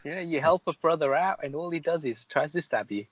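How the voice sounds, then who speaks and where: A man playing through an adventure gamebook, reacting quickly with casual, jokey banter. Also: on the high side, lively intonation, husky, no echo, dull audio. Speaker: player in a gamebook playthrough.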